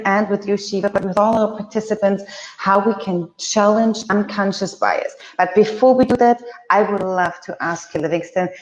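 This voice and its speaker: A woman's voice: medium pitch, darkish tone, a little hiss or hum. Upbeat woman from Austria hosting, echoey call. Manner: upbeat, welcoming, with fillers. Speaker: live-stream host. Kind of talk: hosting a live discussion. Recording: echoey, dull video-call audio.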